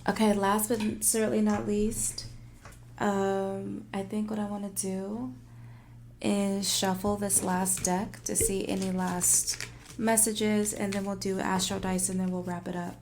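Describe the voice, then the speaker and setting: A woman hosting a tarot livestream in casual, animated, informal talk, thinking aloud and repeating phrases for emphasis, her voice turning a little raspy. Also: medium pitch, unhurried, background noise. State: voice getting raspy. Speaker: tarot reader and livestream host.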